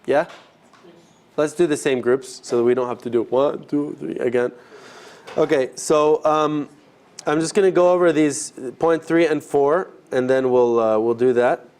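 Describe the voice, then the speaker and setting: Man teaching a group, halting and chatty. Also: a little high, darkish tone, clean tone. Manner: conversational, halting, rephrasing as he goes. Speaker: ministry trainer teaching a group. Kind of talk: informal classroom teaching. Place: live session with a group present.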